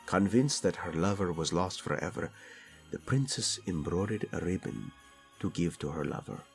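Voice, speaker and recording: A man playing a doting, teasing tyrant prince in a romantic audio roleplay, flirtatious and tender with a husky delivery. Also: deep voice, lively intonation, normal speed, faint music behind. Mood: tender storytelling.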